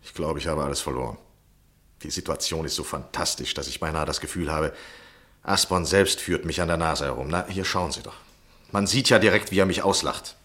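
A deep-voiced, frustrated gentleman journalist. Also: brisk. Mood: exasperated, helpless. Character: obsessed, frustrated, self-justifying gentleman journalist. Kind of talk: 1960s German radio play acting.

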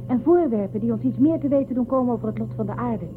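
An actress as a young female shuttle crew member in a radio play, dutiful and careful, with a high voice. She sounds earnest and a little chiding, on a narrow lo-fi recording with music underneath. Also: quick pace, lightly acted. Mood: urging. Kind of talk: radio drama acting.

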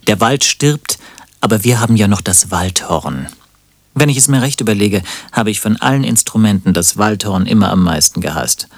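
A man's voice: lowish voice, animated, normal speed, dry recording. An actor in a radio play playing a sardonic, self-pitying man with a dry, ironic way of talking. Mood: wry, melancholic. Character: sardonic, self-pitying lovelorn man narrating his own misery. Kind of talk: radio play narration and dialogue.

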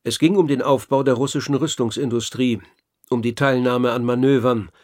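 A man narrating a scripted radio documentary in a measured, articulate, essayistic way. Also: medium pitch, fairly even intonation, a little mellow, no room sound, studio quality.

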